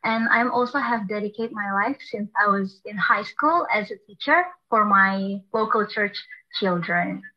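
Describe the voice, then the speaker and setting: A young woman in her twenties speaks slowly and earnestly. Her voice sits at a medium pitch. The video-call audio is muffled and dull. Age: 20s. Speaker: guest contestant.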